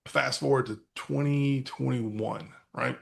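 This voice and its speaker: Gravelly-voiced man telling a rambling story. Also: mid-range voice, steady tone, takes it slowly. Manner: rambling, many fillers and restarts. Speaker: podcast host. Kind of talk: casual conversational storytelling.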